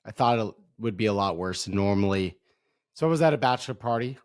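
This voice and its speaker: A young man co-hosts a casual sports podcast with a hoarse, raspy voice. He speaks in a relaxed, self-mocking way. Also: lowish voice, brisk. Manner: laid-back, self-deprecating. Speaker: podcast co-host.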